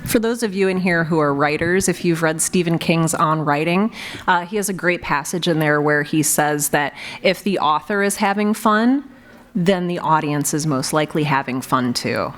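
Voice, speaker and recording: A woman moderating a live panel discussion, encouraging and animated in her reactions. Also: low-pitched, normal speed. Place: convention panel room. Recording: noisy live convention panel room.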